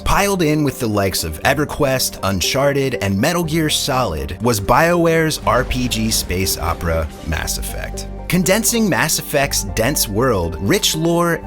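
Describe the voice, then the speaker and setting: Male narrator, animated pitch, emphatic, wry, scripted documentary style, soft music bed. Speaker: video narrator.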